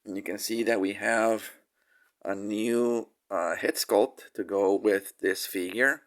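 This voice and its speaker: A man's voice: fairly low pitch, fairly even intonation, slow pace. A man with a nasal voice from a cold, casually reviewing a toy figure with many fillers and restarts.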